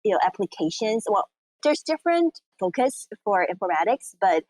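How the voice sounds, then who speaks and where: A woman's voice: mid-range voice, big pitch swings, normal speed, a little mellow, no echo, rough recording. Woman from Taiwan, chatty with fillers. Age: 30s. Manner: many fillers like "you know, like". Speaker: guest entrepreneur. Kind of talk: casual interview answers. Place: at home in Taiwan on a call. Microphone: remote call recording.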